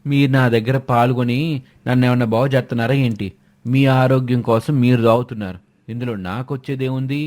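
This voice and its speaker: A man's voice: mid-range voice, steady tone, brisk, darkish tone, natural voice. A man playing a greedy, defensive village donkey-milk seller and husband in a fairy tale. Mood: curt. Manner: defensive, complaining. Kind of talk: acted dialogue in a moral fairy tale.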